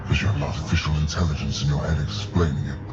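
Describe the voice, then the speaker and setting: An actor playing a cold, imperious alien warlord villain in a video game, haughty and menacing in delivery. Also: male voice, a bass voice, fairly even intonation, quick pace, muffled recording, over loud music. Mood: menacing, contemptuous.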